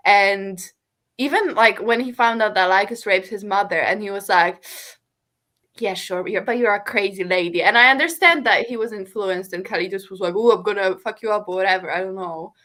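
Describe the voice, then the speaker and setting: A woman's voice: mid-range voice, a little fast, bright, forceful tone. A woman co-hosting a casual book discussion over a video call, rambling with lots of "like" fillers. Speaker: book podcast co-host.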